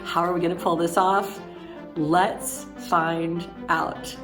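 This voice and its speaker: A woman with a lowish voice hosts a decorating vlog. She talks chattily and with gushing enthusiasm. Music plays clearly underneath her voice. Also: flat delivery, slow. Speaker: vlog host. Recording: music playing behind the voice.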